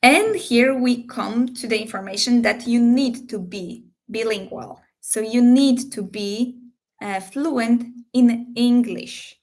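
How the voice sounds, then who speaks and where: A woman hosts a live recruiting Q&A and talks through the job at a slow, deliberate pace. Her voice carries a gravelly, creaky edge. She fills pauses with frequent ums and uhs, and her phrasing is slightly non-native. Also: on the high side. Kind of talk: live Q&A, explaining job details.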